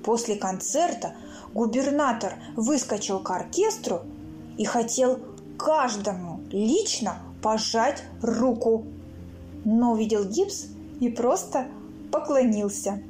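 Woman reading kids fairy tales, slow and super expressive, big pitch swings, soft music behind. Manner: theatrical, acts out sounds and characters. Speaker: author reading her own stories. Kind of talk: expressive children's storybook narration.

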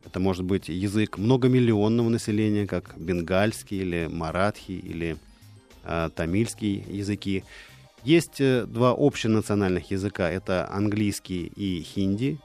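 A man's voice: low-pitched, normal speed, a little mellow, soft background music. A man speaking as an expert radio guest, explaining in a lecturing way with frequent fillers. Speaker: expert guest on languages of India.

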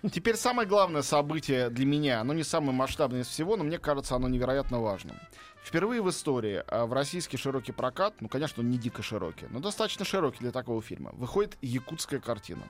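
A man's voice: medium pitch, sing-song, fast talker, faint music behind. A middle-aged man talking as a film critic in a casual studio chat, enthusiastic and opinionated, with many fillers. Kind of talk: radio film review chat.

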